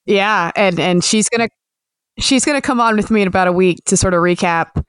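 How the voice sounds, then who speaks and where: A woman hosts a podcast with a smooth, clear voice, talking in a relaxed, conversational way. The recording is dry and close, with no room sound. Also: mid-range voice, a little fast, no echo. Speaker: podcast host. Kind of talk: casual chat.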